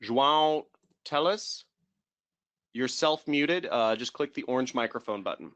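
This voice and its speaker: A man hosting a webinar Q&A over a desk mic on a video call. His voice is bright and forceful, and he answers in a brisk, assertive way. The sound is dry and close, with no room echo. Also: medium pitch, animated, smooth. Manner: brisk, assertive, interrupts to wrap up. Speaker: course host answering student questions. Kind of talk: live webinar Q&A.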